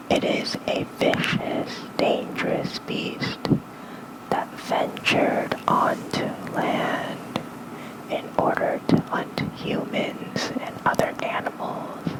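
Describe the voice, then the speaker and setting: ASMR host, soft breathy whisper, slow halting delivery, close mic, bedtime lore reading. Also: low-quality recording. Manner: unhurried, halting, with informal muttered asides. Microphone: close fluffy-covered ASMR microphone.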